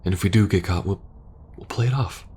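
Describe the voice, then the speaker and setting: A man playing a war-weary, protective soldier in an intimate ASMR audio roleplay, speaking earnestly and hesitantly, sometimes hushed. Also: sits low, brisk, husky. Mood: urgent, persuasive. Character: war-weary young male soldier pleading with best friend, protective, earnest.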